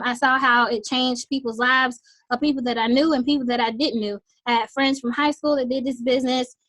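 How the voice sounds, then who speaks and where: A woman hosting a team sales call in an upbeat pitch, her voice a little raspy from losing it, with lots of "um" fillers. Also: a bit bright, dry recording, low-quality recording. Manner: enthusiastic, frequent "um" fillers.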